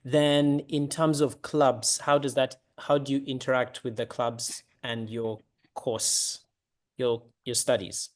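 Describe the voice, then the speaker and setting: A man's voice: mid-range voice, little pitch movement, slow. A man from Uganda, an applicant, asking questions on a video call, polite and repeating himself as he searches for words.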